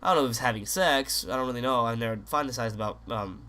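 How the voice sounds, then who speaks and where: A young man with a slight sickness in his voice, talking in a loose, rambling way over gameplay. His delivery is crude and joking, full of restarts and swearing, with a pitch sitting in the ordinary middle for a man. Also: fast, sharp tone. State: sick, voice sounds off. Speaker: gaming commentator.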